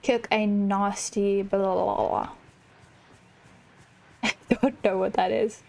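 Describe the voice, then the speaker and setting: A woman's voice: medium pitch, steady tone, takes it slowly, vocal fry, a little mellow, soft background music, low-quality recording. A woman hosting a gaming channel, commenting casually on play in a reflective, empathetic way. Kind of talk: casual game commentary, reading dialogue aloud.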